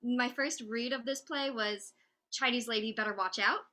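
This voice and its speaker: A woman's voice: on the high side, moderate pace, pushed and bright. A woman speaking as a dramaturg on a panel, in casual, reflective talk.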